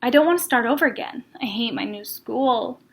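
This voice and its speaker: Woman voicing reluctant female character, anxious, whiny, protesting, high-pitched, children's storybook. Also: brisk, gravelly, slightly rough. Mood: stubborn, whiny. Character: reluctant, anxious Sarah dreading a new school. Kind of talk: children's storybook read-aloud.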